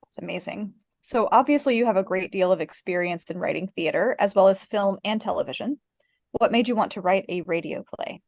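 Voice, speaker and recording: A woman's voice: medium pitch, brisk, vocal fry, a little mellow, dry recording. Encouraging woman interviewer, very dry recording. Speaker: interviewer, co-host. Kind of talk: conversational interview.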